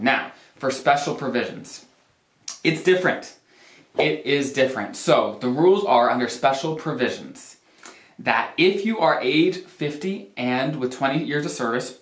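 A man giving an informal explainer talk as a financial planner, speaking emphatically and repeating words for stress. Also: medium pitch. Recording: roomy, echoey sound.

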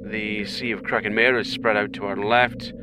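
A man playing a calm, dryly humorous British astronaut captain in a sci-fi audio drama, reporting in clipped, formal phrases. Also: normal speed, natural voice. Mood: composed, focused. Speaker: mission captain. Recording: noisy craft rumble behind.

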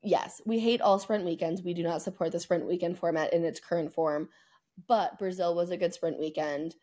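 A woman's voice: on the low side, steady tone, normal speed, dark tone. A woman co-hosting a podcast in casual sports chat, speaking in an emphatic, opinionated way full of hyperbole. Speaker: podcast co-host.

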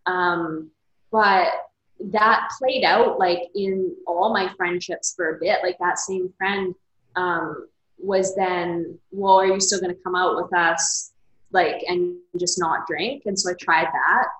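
Woman coach chatting in an interview, kinda low voice, lots of likes, echoey room. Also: steady tone, takes it slowly, fairly bright tone. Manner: candid, reflective, many "like" fillers. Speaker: guest, author and coach. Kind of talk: casual interview conversation.

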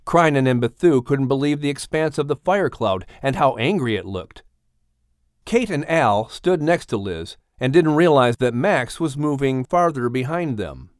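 A man's voice: mid-range voice, animated, moderate pace, no room sound, studio quality. A man reading a dramatic story as an audiobook narrator in a scripted storytelling style.

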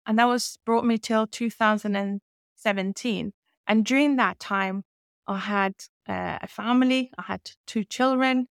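A woman's voice: on the high side, a little slow, gravelly, smooth, no room sound, clean studio sound. A woman in her fifties who grew up in Iran and now speaks with a mixed Scottish-English accent, talking steadily through her career as an interview guest. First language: Persian. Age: 50s. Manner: fluent, steady telling of her career with fillers. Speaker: guest, company founder. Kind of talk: conversational interview.

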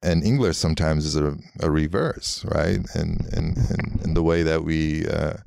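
Very deep, gravelly-voiced man. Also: moderate pace, a little mellow, no room sound. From Los Angeles. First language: Armenian. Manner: musing, meandering, many "you know" fillers. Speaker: guest artist and architect. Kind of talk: reflective interview talk.

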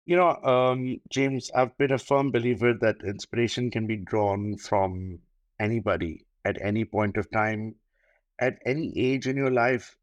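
A man's voice: mid-range voice, a little slow, dry recording. A man, a luxury wedding planner, answering interview questions in a thoughtful way, with fillers and restarts. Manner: thoughtful, with many fillers and repeated starts. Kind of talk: podcast interview.

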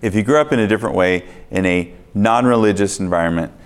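A man preaching in a church, earnest and swinging between quiet passages and emphatic ones. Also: lowish voice, quick pace, clean tone. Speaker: pastor. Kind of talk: sermon. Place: church sanctuary.

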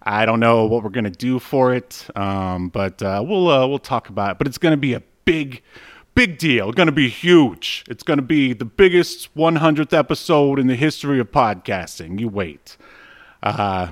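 A man's voice: mid-range voice, animated, normal speed, no echo, clean studio sound. A man co-hosting a podcast in casual, joking banter, laughing often and saying "uh" a lot. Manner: jokey, laughs often, many "uh" fillers. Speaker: podcast co-host.

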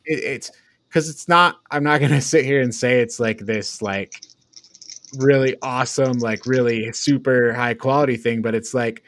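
Halting man, dry close sound. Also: mid-range voice, fairly bright tone, no echo. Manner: halting, thinking aloud. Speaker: podcast co-host reviewing a film. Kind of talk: casual review chat.

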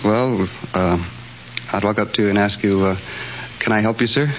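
A man's voice: lowish voice, steady tone, normal speed. A man answering plainly and a little hesitantly as a radio quiz contestant. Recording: dull, faintly hissy old radio recording.

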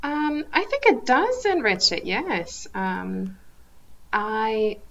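A woman in her thirties, a podcast guest from Kazakhstan, talking thoughtfully with frequent pauses and restarts. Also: fairly high pitch, very animated, creaky, a bit bright, dull audio. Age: 30s. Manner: thoughtful, hesitant with many ums and restarts. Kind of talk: casual interview chat.

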